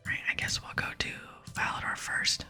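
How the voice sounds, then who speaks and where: A woman doing a whispered ASMR game playthrough, speaking softly in a breathy voice and reading the on-screen dialogue aloud. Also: moderate pace, faint music behind. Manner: soft-spoken. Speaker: ASMR gamer and host.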